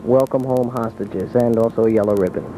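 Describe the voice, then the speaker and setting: A man working as a radio news reporter, reporting live from the scene in improvised, halting but formal phrasing. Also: mid-range voice, fairly even intonation. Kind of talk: live on-scene reporting and brief street interviews. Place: outdoors at an airport. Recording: muffled, noisy 1980s radio aircheck.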